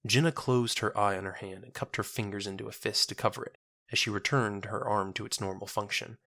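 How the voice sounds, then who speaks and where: A man narrates a scripted drama in a lowish voice with a bright, forceful edge. The sound is close and dry, as in an audiobook. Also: fairly even intonation, fairly quick, gravelly, dry recording.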